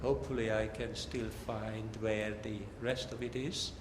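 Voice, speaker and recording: A man's voice: on the low side, flat delivery, unhurried. Echoey older man lecturing. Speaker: academic lecturer, professor. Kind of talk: technical academic lecture. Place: large lecture hall. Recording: echoey hall with long reverb, background noise.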